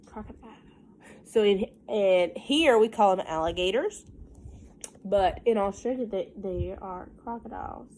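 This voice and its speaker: A woman reading a picture book aloud to young children like a teacher, in an expressive sing-song way with simple questions and asides. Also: medium pitch, a little slow, slight background noise, low-quality recording.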